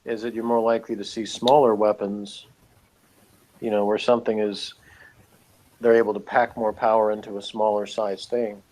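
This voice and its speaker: A man's voice: lowish voice, level tone, moderate pace, a little mellow, low-quality recording. A man co-hosting a review show, talking casually about pop culture in an easygoing, hedging way.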